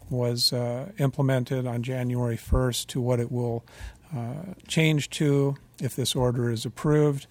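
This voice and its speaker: A man speaking as a utility regulator at a public meeting, measured and formal, with frequent fillers and restarts. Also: mid-range voice, a little mellow, no echo.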